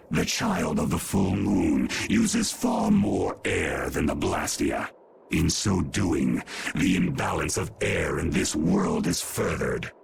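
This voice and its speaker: An actor playing an ancient, imperious monster guardian in a fantasy game, speaking with slow, solemn grandeur and stern menace. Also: male voice, very noisy, full character voice. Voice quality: deep gravelly. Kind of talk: dubbed fantasy game voice acting.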